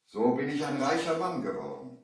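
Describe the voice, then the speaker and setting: An actor playing a merchant who narrates his sea adventure in measured storybook style, in a 1970s German audio play. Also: medium pitch, sing-song, natural voice. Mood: narrating. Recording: strong echo, distant from the mic.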